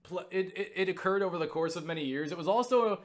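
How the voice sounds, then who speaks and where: A young man casually recounting a story for viewers, excitable and full of swearing and filler words. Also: high voice, fast. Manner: excitable, swears freely, lots of fillers and laughs. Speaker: YouTuber answering viewer questions.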